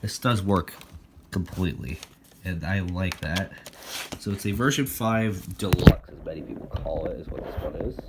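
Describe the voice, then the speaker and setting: A man's voice: deep voice, moderate pace. A man giving a casual, unscripted show-and-tell of his collection, rambling and thinking aloud as he handles gear. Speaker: hobbyist showing a weather radio collection. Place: indoor room, recorded while handling radios. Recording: echoey room with loud background noise.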